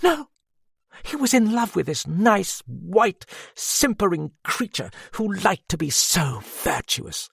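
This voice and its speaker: A woman's voice: mid-range voice, sing-song, slow, vocal fry, slightly rough, dry recording, studio quality, voice pushed up, full character voice. A man voicing a proud, desperate woman who cries out with passion, bitter and near tears, in a read-aloud story.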